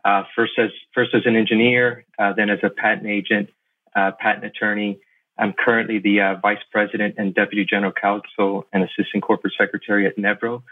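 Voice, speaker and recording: A middle-aged American man from Miami giving casual interview answers, haltingly, repeating words as he thinks. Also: lowish voice, little pitch movement. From Miami, Florida, USA. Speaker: podcast guest, lawyer and investor. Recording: thin phone-like sound.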